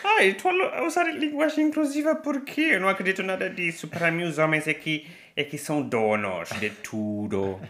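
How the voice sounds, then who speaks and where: A man's voice: sits high, lively intonation, moderate pace, sharp tone. A man voicing a cheeky, smug male dog with far-right views in a comic podcast bit, with a camp, boastful manner.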